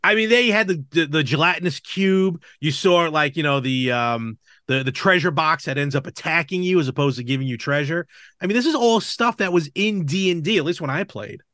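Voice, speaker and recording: A middle-aged man hosts a casual podcast chat, wandering through asides. His voice is very animated and a touch high. It is recorded close and dry, with almost no room sound. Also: a little fast, dry recording. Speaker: podcast host.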